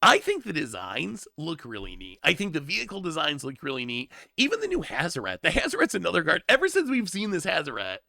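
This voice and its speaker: A man with a gravelly, bright voice that climbs and dips a lot, talking casually on a livestream. His voice is strained and worn from congestion, and he often repeats himself while thinking aloud. The sound is dry and close. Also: on the high side, wildly swooping pitch, fast, hardly any pauses, dry recording. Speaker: streamer reviewing card spoilers.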